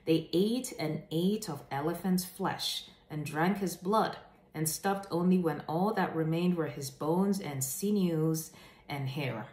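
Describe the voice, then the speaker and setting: A woman hosting a children's read-aloud, telling stories to the viewer in an expressive, deliberate and emphatic way. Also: low voice. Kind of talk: animated read-aloud storytelling to camera. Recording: echoey room.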